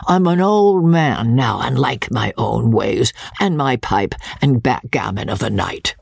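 A man puts on a vulgar old country squire nearly eighty, bawling at servants and leering at guests in a gravelly, raspy voice. His pitch leaps around as he mutters and cackles. The storybook reading is dry and close. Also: lively intonation, measured pace, dry recording, heavily acted. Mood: mocking. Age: older. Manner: coarse, bawling, chuckling, sly asides.

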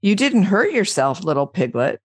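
A woman's voice: medium pitch, very animated, moderate pace, dry recording, studio quality. A woman voicing a gloomy, doleful male donkey from a children's storybook, mournful and resigned. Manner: mournful, resigned, hesitant questioning.

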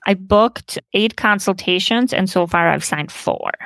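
A woman's voice: medium pitch, measured pace, fairly bright tone, no echo. A woman from Bosnia and Montenegro, a podcast guest who talks casually about her design business and laughs often. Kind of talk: casual conversation.

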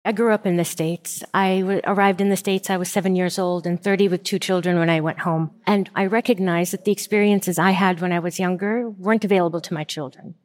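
A woman's voice: fairly low pitch, steady tone, a little fast, hardly any pauses, a little mellow. A middle-aged woman from Saudi Arabia telling her story as an interview guest, candid and emphatic.

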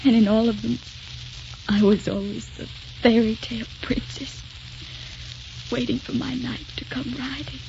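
An actress playing a frail, dying young wife, devoted and dreamy, with tender, wistful 1940s radio drama delivery. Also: female voice, fairly high pitch, steady tone, unhurried, long pauses, background noise. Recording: muffled old 1940s radio recording, music behind.

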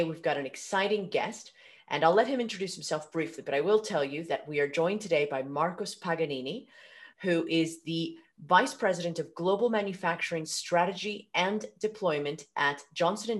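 A woman with a fairly low voice hosts a podcast interview. She welcomes her guest and asks open questions in a conversational way, sometimes restarting her sentences. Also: normal speed. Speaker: podcast host.

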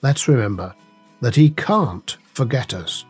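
Slow, measured man reading a devotional. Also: medium pitch, lively intonation, breathy edge, soft background music. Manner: measured, deliberate phrasing with pauses. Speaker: devotional reader and host. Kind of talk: scripted devotional reading with scripture and prayer.

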